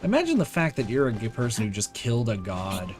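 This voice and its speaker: A man's voice: mid-range voice, huge pitch swings, fast talker. A young man commentates casually on a game stream. He talks in loose slang, repeating his phrases and swearing offhand, with faint game music underneath.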